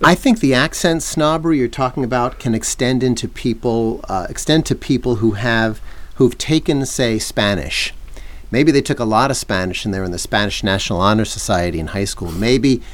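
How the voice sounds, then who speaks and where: A middle-aged man co-hosting a podcast, talking in a wry, teasing, measured way. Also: medium pitch. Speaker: podcast co-host. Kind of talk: casual chat.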